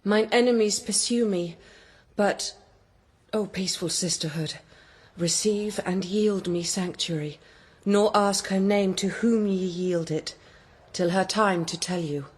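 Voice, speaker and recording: An actress playing a stately, remorseful fugitive queen in a verse audio drama, breathy and solemn. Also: medium pitch, unhurried. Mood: pleading. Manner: solemn archaic verse delivery. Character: grieving fugitive queen hiding among nuns, stately and remorseful.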